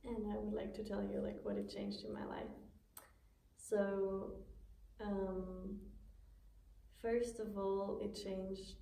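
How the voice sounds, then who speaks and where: A woman with a Swiss accent gives an earnest personal account in non-native English. Her voice is dark and fairly flat in pitch. She is heard some way from the mic in an echoey room. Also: creaky. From Switzerland. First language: not English. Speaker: participant giving a testimonial. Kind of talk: earnest personal talk to a small group. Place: indoor room at a small gathering. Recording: echoey room, distant mic, faint noise.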